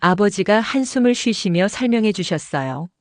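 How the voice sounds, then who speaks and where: A woman narrates an audio drama in the first person with a calm storytelling delivery. Her pitch sits in the middle range. The studio recording is close and entirely dry. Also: moderate pace, dry recording.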